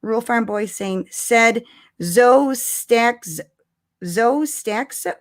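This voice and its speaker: A woman co-hosting a casual live-streamed chat about fandom news, talking in an animated, chatty way with exclamations. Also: a little high, slow. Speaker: podcast co-host.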